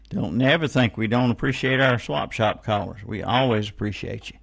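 A man's voice: mid-range voice, normal speed, vocal fry. A man hosting a casual live radio call-in show, folksy and given to repeating phrases and numbers.

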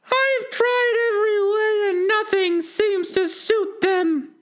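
A reader voicing an indignant, distraught mother pigeon in a storybook, shrill and shrieking, scolding and plaintive. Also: fairly even intonation, slow pace. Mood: sobbing complaint. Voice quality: shrieking, very high-pitched. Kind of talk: storybook character voice.

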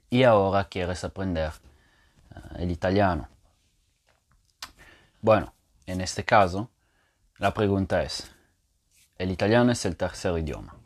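Very deep-voiced man explaining a lesson. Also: darkish tone. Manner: thinking aloud, with frequent fillers and restarts. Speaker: language teacher.

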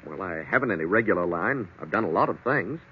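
A man's voice: low-pitched, expressive, fast. A man playing a polite, plain-spoken young husband in old radio drama style, easygoing and conciliatory. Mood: earnest, conciliatory. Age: young adult. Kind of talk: 1940s radio drama acting. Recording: muffled, noisy old radio recording.

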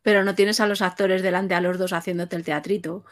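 A woman's voice: on the low side, steady tone, fairly quick. Spanish woman interviewing in an easy chat. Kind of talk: casual chat.

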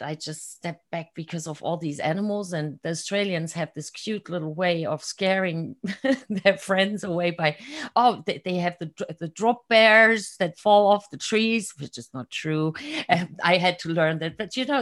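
A middle-aged woman from Germany with a German accent, a guest in a casual podcast interview, cheerful and quick to laugh, sometimes searching for words. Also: fairly low pitch.

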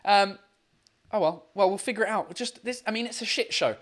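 A man co-hosting a livestream quiz, chatting casually with viewers in a loud, laughing, swearing style full of fillers. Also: high-pitched, brisk. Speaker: livestream co-host running a quiz.

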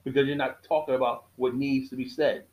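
A middle-aged man hosting his own podcast, giving a casual monologue with a preachy tone, repeating key lines for emphasis, often halting and restarting. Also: medium pitch, steady tone, dull audio. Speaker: podcast host.

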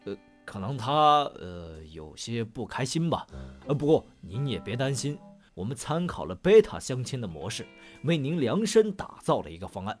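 A man's voice: medium pitch, sing-song, moderate pace, close to an everyday voice. A man playing a polite, fussy scientist who explains things formally and with awkward tact in an audio drama. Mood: awkward, tactful. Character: polite, fussy male scientist acting as matchmaking consultant. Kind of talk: Chinese audio drama acting. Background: music.

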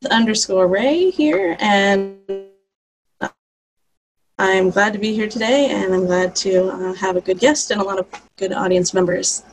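Brief: female panelist, mid pitch, gravelly fry, friendly. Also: measured pace, faint music behind, low-quality recording. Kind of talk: casual webcast chat.

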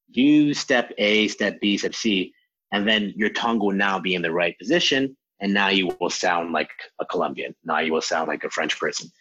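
A man, a language teacher, answering interview questions in an animated way and acting out quotes. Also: lowish voice, slightly rough, dull audio. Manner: animated explainer, acts out quotes. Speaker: language teacher, podcast guest.

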